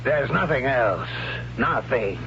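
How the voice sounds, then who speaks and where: An actor playing a gruff older warrior and sword teacher in a 1970s radio drama, blunt and instructing. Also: male voice, medium pitch, expressive, slow. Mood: blunt, grave. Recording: dull, noisy old radio recording with hum.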